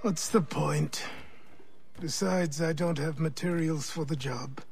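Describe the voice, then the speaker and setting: An actor playing a weary, gruff old mentor in a video game, a curt retired assassin who sighs and lectures. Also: male voice, fairly high pitch, slow pace, darkish tone, faint music behind. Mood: weary, scornful. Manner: sighing, curt, dismissive, then instructive. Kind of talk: dramatic video game cutscene acting.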